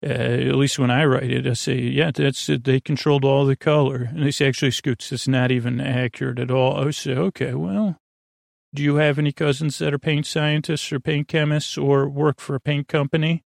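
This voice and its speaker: A man's voice: mid-range voice, steady tone, moderate pace, creaky, no room sound, studio quality. A man hosting a bedtime podcast, rambling in a friendly, meandering way, often trailing off and restarting. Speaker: podcast host.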